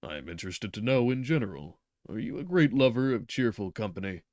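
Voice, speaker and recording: A man's voice: lowish voice, big pitch swings, a little fast, a little mellow, no echo, studio quality. A man voicing a stern middle-aged doctor who lectures insistently and stresses his words. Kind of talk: reading a novel aloud.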